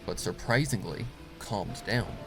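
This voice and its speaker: A man reading dramatic scripted horror fiction as narrator, in a solemn, deliberate manner. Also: low voice, expressive, vocal fry. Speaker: story narrator. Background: music.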